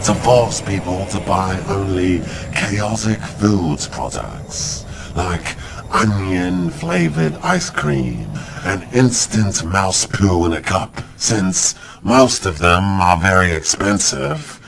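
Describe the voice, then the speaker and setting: A man's voice: low voice, animated, slow pace, creaky, noisy background, exaggerated voice. An actor playing a banished male alien who demands a seat on a ruling council, speaking grandly but fumbling when put on the spot. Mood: proud, grievous. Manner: grand and declamatory, then fumbling. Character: banished male alien intervener, defiant and showy. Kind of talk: amateur radio drama.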